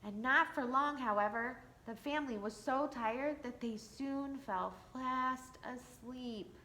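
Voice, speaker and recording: A woman reading a picture book aloud to children at church, expressive with playful asides. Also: high-pitched, takes it slowly, a little hiss or hum. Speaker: church storyteller.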